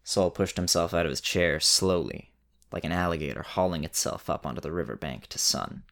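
A man narrating a scripted story in a measured way with dramatic pauses. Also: deep voice, gravelly, a bit bright.

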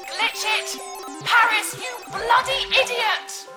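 A woman's voice: extremely high pitch, slow pace, sharp tone, faint music behind, heavily acted. A woman voicing a blunt, suspicious artificial intelligence companion in a sci-fi audio drama, terse and snarky. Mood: exasperated.

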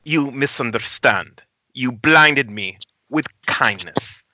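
A man's voice: mid-range voice, animated, slow, pushed and bright, highs cut off, exaggerated voice. A man playing a bitter, coldly sardonic injured cameraman with stiff, theatrical phrasing in an amateur table read over an internet call. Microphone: internet video call.

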